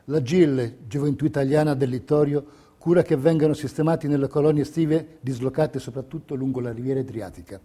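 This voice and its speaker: A man narrating a scripted documentary theatre piece in a measured, declamatory manner. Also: mid-range voice, warm tone, breathy edge. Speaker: stage narrator.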